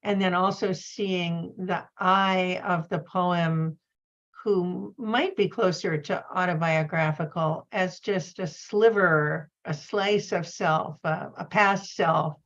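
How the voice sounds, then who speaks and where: A woman's voice: lowish voice, steady tone, takes it slowly, fairly bright tone. A woman moderating a panel conversation, reflective and a little hesitant, on a dull recording. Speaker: event moderator and poet.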